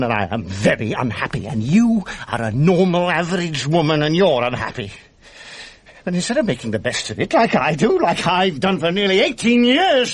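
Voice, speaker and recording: A husky middle-aged British man, playing a bitter, exasperated husband in a radio drama. His delivery is sardonic and self-pitying, with pitch that swings widely. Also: no room sound. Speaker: lead actor and narrator.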